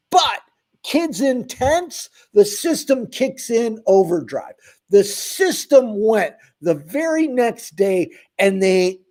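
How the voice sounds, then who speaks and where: Emphatic high-voiced man ranting to viewers. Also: a little mellow. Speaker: homeless advocate, channel host. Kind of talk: impassioned direct-to-camera talk.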